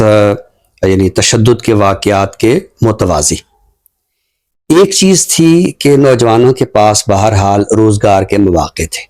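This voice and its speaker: A middle-aged man speaks in a fairly low voice with a Pakistani accent. He sounds like a thoughtful commentator in conversation, setting out his points deliberately and pausing partway through sentences. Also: a little mellow. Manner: explanatory, deliberate, with pauses mid-sentence. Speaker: literary talk show commentator. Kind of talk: reflective social commentary in conversation.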